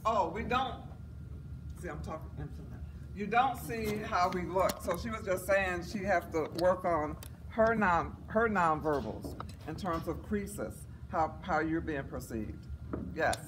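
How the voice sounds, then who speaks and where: A woman with a raspy voice presenting a workshop and quizzing the audience. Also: fairly low pitch, measured pace. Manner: animated, questioning the audience. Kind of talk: interactive workshop talk. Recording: echoey room, distant mic, noisy.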